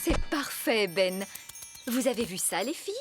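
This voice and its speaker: A woman voicing a strict fairy nanny in a children's cartoon, brisk and commanding as she teaches. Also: mid-range voice, animated, loud music behind the voice, natural voice. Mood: instructive. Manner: didactic, commanding. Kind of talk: children's cartoon dub acting.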